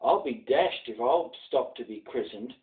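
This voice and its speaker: A man's voice: mid-range voice, lively intonation, unhurried, close to an everyday voice. A man voicing an artful, defiant ten-year-old bush boy in a theatrical poem reading. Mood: indignant. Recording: dull lo-fi sound, distant mic.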